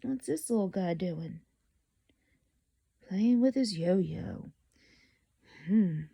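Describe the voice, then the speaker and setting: A woman's voice: mid-range voice, expressive, very slow, creaky, dark tone, slightly rough. A woman reading a children's story aloud expressively, dramatic in delivery and addressing young listeners.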